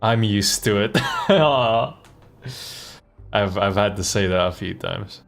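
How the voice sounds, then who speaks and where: Low-voiced man streaming casual commentary. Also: slow pace, creaky. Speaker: streamer and voice actor. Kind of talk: casual live game commentary. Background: game music.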